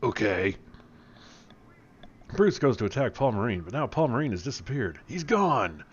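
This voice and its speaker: A man's voice: mid-range voice, big pitch swings, a little fast, breathy edge. A man narrating a comic script as a mock-serious, theatrical dramatic reading, in a slightly muffled recording. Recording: slightly dull, muffled sound.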